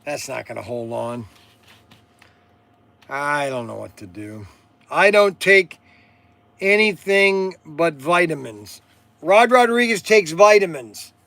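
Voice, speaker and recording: Guy in his 60s rambling on a livestream, slow, kinda high voice, keeps repeating himself and tripping on words. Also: lively intonation, vocal fry, sharp tone, no room sound. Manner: halting, repeats himself, stumbles over words. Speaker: livestream host selling hobby toys and model cars. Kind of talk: rambling casual livestream chat.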